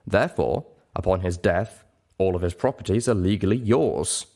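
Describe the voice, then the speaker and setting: A man voicing a gentle male pony legal clerk, formal and matter-of-fact, in a story reading. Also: low voice, moderate pace. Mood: formal, frank.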